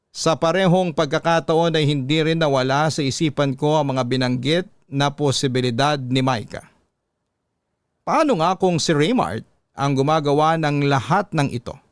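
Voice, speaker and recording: Smooth-voiced man narrating a suspense story. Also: a little high, no echo. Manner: measured, suspenseful reading. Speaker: host reading a listener's story. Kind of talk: dramatic first-person story narration.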